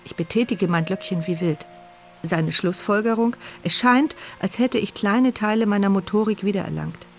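A woman's voice: medium pitch, moderate pace, faint music behind, lightly acted. An actress playing a paralysed, mute woman who narrates her sardonic, bitter thoughts in a radio play. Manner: sardonic, biting inner voice. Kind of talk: radio play inner monologue.

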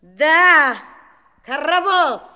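A woman's voice: sits high, drawn-out words, bright, forceful tone, lo-fi sound, full character voice. A woman playing a wicked, vengeful old witch fairy in a fairy-tale audio play, imperious, menacing and theatrical. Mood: gloating. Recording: long hall reverb.

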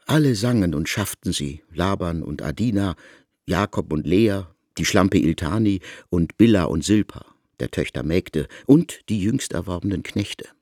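A man's voice: low voice, lively intonation, normal speed, a little mellow, dry recording, studio quality. A man narrating a literary radio drama in a stately, solemn manner.

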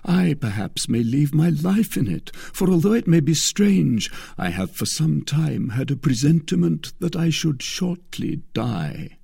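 A man's voice: fairly high pitch, big pitch swings, a little slow, creaky, hardly any pauses, mellow, breathy edge, no room sound, clean studio sound, pitched above the performer's own voice, exaggerated voice. A man voicing an ardent lovestruck nobleman, declaiming passionately in a dramatic audiobook reading. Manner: impassioned, declamatory.

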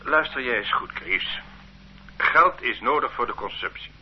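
An actor playing a stern, condescending police officer who lectures a detainee in an authoritarian way in a radio drama. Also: male voice, mid-range voice, expressive, unhurried, a little hiss or hum, close to an everyday voice. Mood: lecturing, condescending. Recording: muffled old radio play recording.

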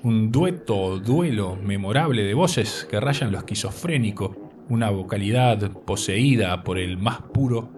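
A man from Uruguay, reading a prepared radio text as the presenter in a measured way. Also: fairly low pitch, lively intonation, distant mic, noisy background. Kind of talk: scripted radio narration.

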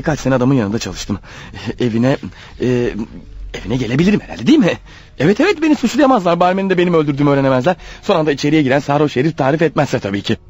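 A man's voice: on the high side, a little mellow, husky. A man acting in a radio play as a panicky, paranoid killer husband on the run, stammering and muttering anxiously to himself. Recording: dull lo-fi radio drama recording.

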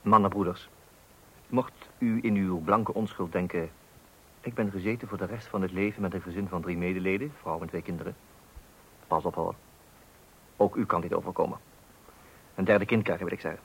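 A man's voice: sits low, steady tone, brisk, pauses often, fairly bright tone, breathy edge, full character voice, rough recording. A man playing a wry fatherly narrator in a radio play, addressing men with pompous mock solemnity on a hissy old recording. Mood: mock-solemn warning.

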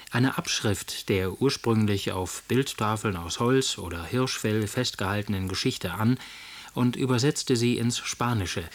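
A man reading a scripted introduction to a radio play as its presenter. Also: medium pitch. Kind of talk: scripted narration.

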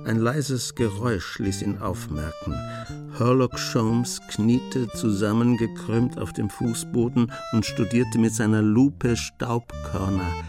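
A man's voice: fairly low pitch, slow pace, over music. A man narrating a radio crime drama in a scripted storytelling style.

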